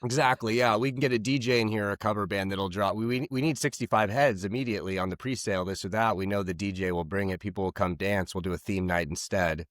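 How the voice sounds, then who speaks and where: A man's voice: fairly low pitch, quick pace, few pauses, no room sound, clean studio sound. A young man from Canada hosting a podcast, chatting casually in a jokey way with offhand swearing. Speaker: podcast host. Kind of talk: casual interview chat.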